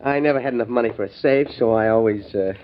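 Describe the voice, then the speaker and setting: A man's voice: medium pitch, fast talker, lightly acted. An actor playing a sly, cocky man with a chuckling manner in a 1940s radio drama. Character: greedy sly man, shot near the end. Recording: dull old radio recording with faint hiss.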